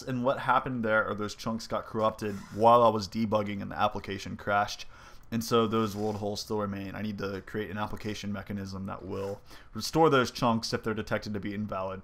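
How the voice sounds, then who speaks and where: A man's voice: fairly low pitch, fairly bright tone. Congested young man rambling through a devlog. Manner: rambling, many ums and likes, thinking aloud. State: stuffy, congested. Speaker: indie game developer. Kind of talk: casual devlog commentary over gameplay.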